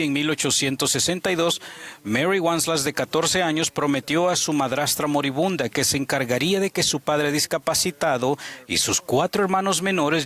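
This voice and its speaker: A man's voice: on the high side, a bit bright. Man earnestly retelling a sermon story. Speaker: interpreter.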